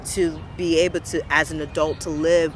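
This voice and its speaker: A woman's voice: deep voice, level tone, normal speed. A young woman from Brooklyn, speaking earnestly and passionately about her community in a personal interview, repeating words for emphasis. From Brooklyn, New York, USA. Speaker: interviewee, local business owner. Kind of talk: personal reflective testimony. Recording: street recording with traffic noise.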